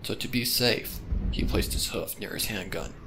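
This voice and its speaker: A man's voice: fairly low pitch, normal speed, background noise. A man reading a story aloud as a narrator, with dramatic scripted delivery. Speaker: fan fiction narrator.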